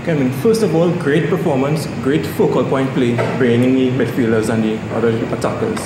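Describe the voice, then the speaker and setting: A man's voice: mid-range voice, a little fast. Male reporter in noisy, echoey room. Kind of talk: press conference question.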